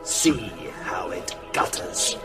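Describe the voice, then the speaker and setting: An actor playing a mocking, cruel master vampire in a radio horror drama, with a gravelly voice and theatrical, taunting delivery. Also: male voice, deep voice, expressive, slow, over loud music. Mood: gloating scorn.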